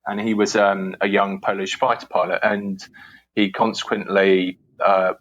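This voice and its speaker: A man's voice: low voice, little pitch movement, measured pace, fairly bright tone, highs cut off. A man from the UK, in his forties, answering interview questions in a hesitant way with many restarts and fillers. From United Kingdom. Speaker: guest, historian. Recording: faint background noise.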